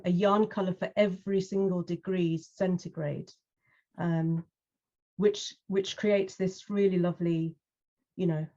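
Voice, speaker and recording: British woman, 50s, lowish voice, chatty with lots of ums, dull lo-fi sound. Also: warm tone. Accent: British English. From UK, London. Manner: chatty, many ums and restarts. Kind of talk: casual interview chat.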